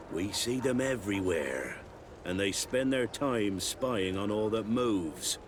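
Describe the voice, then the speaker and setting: Animated, lowish gossipy dockside local. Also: male voice, background noise. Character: gossipy, forthcoming male port local. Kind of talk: video game voice acting.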